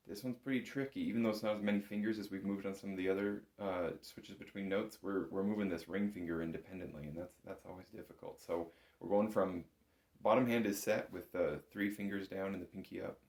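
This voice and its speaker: A man's voice: sits low, fairly even intonation, brisk, a little mellow. A man giving a step-by-step music lesson as an instructor, talking in an informal, patient, encouraging way. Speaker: bagpipe instructor. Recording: roomy, echoing room sound.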